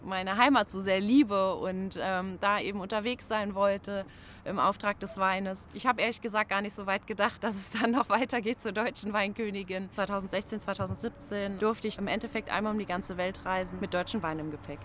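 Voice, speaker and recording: A woman's voice: medium pitch, steady tone, a little fast, a bit bright, slight background noise. Young woman winemaker explaining earnestly. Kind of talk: interview answers. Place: outdoors in a vineyard.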